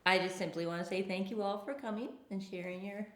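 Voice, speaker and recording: A woman's voice: medium pitch, fairly even intonation, fast, slight background noise. A woman hosting a writers' open mic, giving casual feedback and remarks to the group. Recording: echoey, roomy sound.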